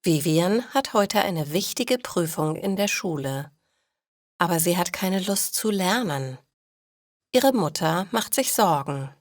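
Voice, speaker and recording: A woman narrating scripted stories for language learners, with clear, measured phrasing. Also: fairly low pitch, animated, no room sound, clean studio sound.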